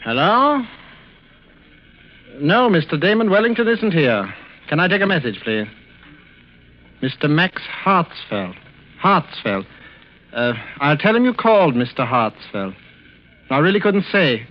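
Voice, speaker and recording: An actor in 1940s radio style playing a scheming, falsely tender husband who speaks politely, with unctuous, measured control. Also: male voice, on the high side, big pitch swings. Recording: muffled old radio recording, faint noise.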